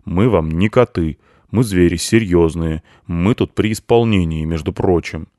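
A man's voice: deep voice, normal speed, darkish tone, dry recording, clean studio sound, close to an everyday voice. A man voicing a stern, pompous beaver foreman in an animal story, speaking like a grandiose official. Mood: stern, dignified.

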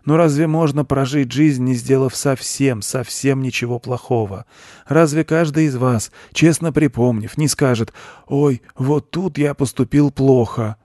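A man's voice: medium pitch, measured pace, dark tone, no room sound, clean studio sound, voice pushed up, lightly acted. A man voicing a pompous, persuasive male goat who preaches solemnly in a children's story. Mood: solemn, self-important. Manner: solemn, self-important, preachy.

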